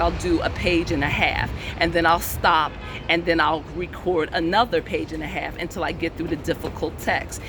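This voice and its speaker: Guy giving tips on his channel, voice on the high side, lots of uh's, traffic noise behind. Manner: relaxed, many "uh" pauses, repeats himself. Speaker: indie author and channel host. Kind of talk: casual advice talk. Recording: noisy recording with traffic outside.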